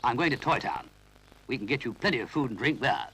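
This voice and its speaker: A man's voice: medium pitch, sing-song, quick pace, fairly bright tone, husky, exaggerated voice. A man voicing a grumpy, fussy brownie who speaks crossly but means well. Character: brisk grumpy elderly brownie, kindly underneath.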